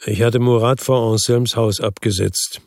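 A man's voice: medium pitch, fairly even intonation, moderate pace, a little mellow, no room sound, studio quality, natural voice. An actor playing a world-weary former policeman from Marseille, narrating his case soberly and laconically in a radio drama. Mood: dry, matter-of-fact. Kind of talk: first-person radio drama narration and dialogue.

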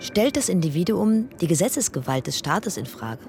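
A woman's voice: lowish voice, very animated, normal speed, creaky, music in the background. A woman playing a firm, challenging chorus voice in a poetic radio play, speaking tersely. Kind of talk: poetic radio play, clipped choral exchange.

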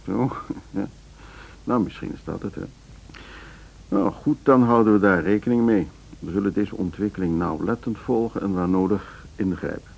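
A man's voice: fairly low pitch, moderate pace, creaky, a little mellow, husky, dull audio. A man playing a complacent, paternalistic board chairman in a Dutch radio play, condescending and self-assured. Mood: amused, condescending.

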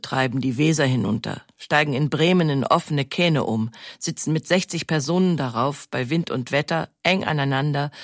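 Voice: medium pitch, no echo. Dry, close documentary narrating voice. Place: dry studio.

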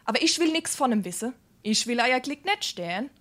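An actress playing a brisk, loyal young gossip in Darmstadt dialect, expressive, in a stage comedy. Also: female voice, high-pitched, full character voice. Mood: brisk, confiding. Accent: Hessian, Darmstadt dialect.

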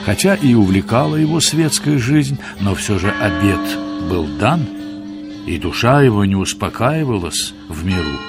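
A man's voice: on the low side, lively intonation, moderate pace, vocal fry. A man narrating a radio play in a scripted storytelling style. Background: music under the voice.

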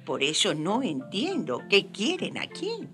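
Slow, lively peasant woman. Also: medium pitch, soft background music. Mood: sceptical, plaintive. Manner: hesitant, folksy, teasing. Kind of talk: radio drama acting.

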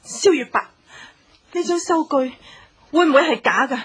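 A high-voiced coy young woman. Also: moderate pace, a bit bright, a little hiss or hum. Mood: coy, suspicious. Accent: Hong Kong. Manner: coy, hesitant, theatrical. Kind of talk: Cantonese radio drama acting.

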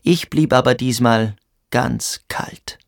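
An actor playing a cold, self-assured military school cadet, recounting events in a clipped, matter-of-fact radio drama manner. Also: male voice, medium pitch, slow, gravelly. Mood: cold, calculating.

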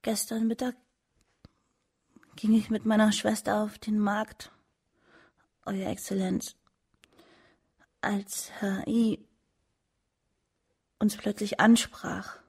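An earnest young daughter testifying in a radio drama. Her husky, creaky voice stays even and restrained, formal and a little hesitant. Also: mid-range voice, takes it slowly, pauses often. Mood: subdued, distressed.

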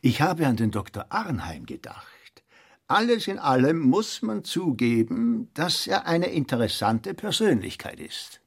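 An actor playing a courteous, musing older count in a radio drama, speaking formally and deliberately. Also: male voice, mid-range voice, huge pitch swings, vocal fry, slightly rough, full character voice.

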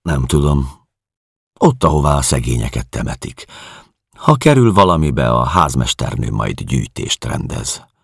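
A man's voice: rumbling low, big pitch swings, gravelly, a bit bright, slightly rough, dry recording, clean studio sound, heavily acted. A man reading the dialogue of a sober, reassuring refugee husband in an audiobook.

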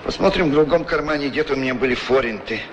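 A man acting a suave, effusive, flattering gentleman in a 1960s radio play. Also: mid-range voice, fast talker, close to an everyday voice. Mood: polite, brisk. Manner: gallant, effusive. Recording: noisy old radio drama recording.

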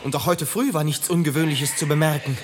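Man playing a deferential asylum attendant, higher-pitched voice. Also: fairly even intonation, a little fast, lightly acted. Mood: dutiful, uneasy. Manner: respectful, hesitant reporting. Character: Adams, deferential asylum attendant reporting to his superior. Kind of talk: German radio drama acting. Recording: clear background noise with clicks.

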